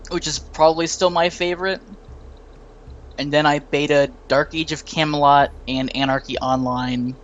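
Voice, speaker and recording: A man in his late twenties chatting casually about games, telling quick anecdotes in a talkative way. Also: fairly high pitch, fairly even intonation, dull audio. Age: late 20s. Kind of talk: casual gaming chat.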